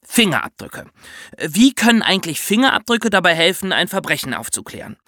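A man's voice: sits high, big pitch swings, a little fast, sharp tone, no echo, clean studio sound. A male co-host of a children's podcast, explaining things instructively and addressing young listeners directly.

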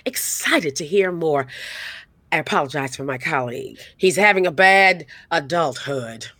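An actress playing a composed, courteous producer in an audio comedy, polite and dry. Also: female voice, low-pitched, animated, a little slow, a bit bright, dry recording, heavily acted. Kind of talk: audio comedy drama acting.

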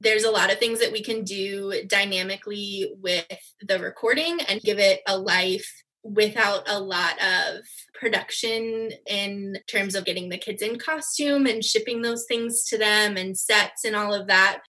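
Woman drama coach, informal delivery, sharp bright tone, rough husky edge. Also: medium pitch, unhurried, few pauses, rough recording. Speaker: drama instructor. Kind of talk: spoken coaching and explanation.

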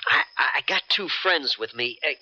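Guarded adventurer, noisy old radio. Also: male voice, fairly high pitch, lively intonation, normal speed, bright, forceful tone, muffled recording. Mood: fond, purposeful. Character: wary, daring boatman, fond lover, leading a mission. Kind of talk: 1970s American radio drama acting.

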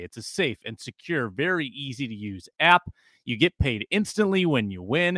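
An American man reads a sponsor ad in a brisk, upbeat way. His tone is bright and forward, and the sound is close and dry with no room around it. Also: medium pitch, no room sound. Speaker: co-host reading an ad. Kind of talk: read sponsor spot.

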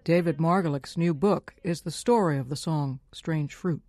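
A woman's voice: low voice, moderate pace, mellow. A woman presenting a scripted radio documentary as host and narrator. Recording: music playing underneath. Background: music.

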